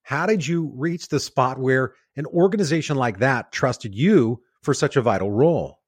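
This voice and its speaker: A man's voice: mid-range voice, lively intonation, moderate pace, breathy edge. A man hosting an interview podcast, introducing his guest and asking eager questions. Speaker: podcast host.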